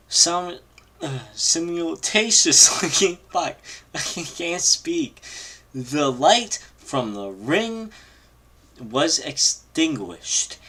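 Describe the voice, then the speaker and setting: A man reading a horror story aloud informally on a live stream, halting and stumbling over long words. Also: on the high side, pushed and bright. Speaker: amateur reader on a live read-along stream.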